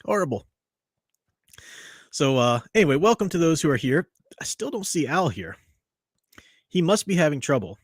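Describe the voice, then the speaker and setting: A man's voice: a little high, sing-song, normal speed, pauses often, dry recording, studio quality. A tired man hosting a casual livestream chat from home. He talks in a rambling, chatty way, with lots of fillers and restarts. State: tired after a long day. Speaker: livestream host and reviewer.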